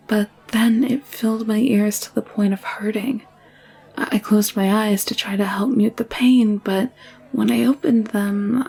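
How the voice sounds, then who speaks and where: A woman playing an anxious, secretive young alien nurse in an intimate audio drama, confiding in a hushed, hesitant way. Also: a little high, vocal fry, slightly rough, exaggerated voice, rough recording. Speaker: voice actor in audio roleplay.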